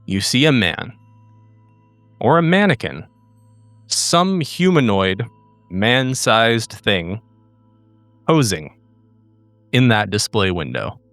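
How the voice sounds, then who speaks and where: A man narrating suspensefully as game master of a horror game, deliberately and in broken phrases. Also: on the low side, big pitch swings, a bit bright, no echo, soft background music.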